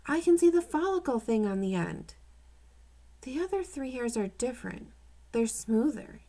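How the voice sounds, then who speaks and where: Woman reading as an earnest, slightly higher-voiced boy detective. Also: animated, moderate pace, warm tone. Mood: curious. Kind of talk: children's storybook read-aloud.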